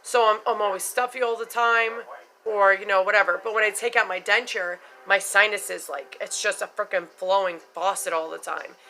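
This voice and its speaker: A woman's voice: mid-range voice, steady tone, normal speed, sharp tone, rough recording. A middle-aged woman talking casually and frankly in a vlog, chatty, swearing now and then and full of "you know" fillers. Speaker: vlogger sharing denture experiences. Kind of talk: casual unscripted vlog talk.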